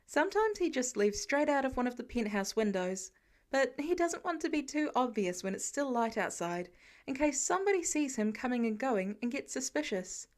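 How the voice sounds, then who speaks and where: A woman narrates a piece of fiction as an audiobook reader. Her voice sits a little higher than most women's. The delivery is scripted storytelling, read aloud line by line. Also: very animated, moderate pace.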